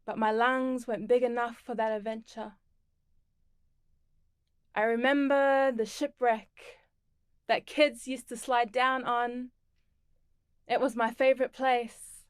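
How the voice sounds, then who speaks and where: Woman poet from the torres strait and tonga, reads real slow, kinda high voice. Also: fairly even intonation, clear, resonant tone, dry recording, studio quality. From Torres Strait Islands and Tonga. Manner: slow, measured and deliberate. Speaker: performing poet. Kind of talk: spoken word poetry performance.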